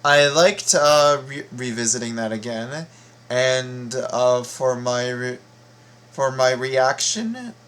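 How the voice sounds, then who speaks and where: A very tired man giving casual reaction commentary, halting and rambling as he repeats his words. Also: medium pitch, animated, a bit bright, clean tone. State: very tired, half asleep. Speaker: video host reacting to game voice lines.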